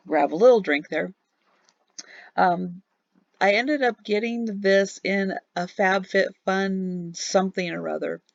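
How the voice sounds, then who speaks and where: A woman reselling online, narrating a relaxed screen-recorded recap of what sold, halting with many ums and a tiring voice. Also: lowish voice, creaky, no echo, highs cut off. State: losing her voice. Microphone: voice-over on a screen recording.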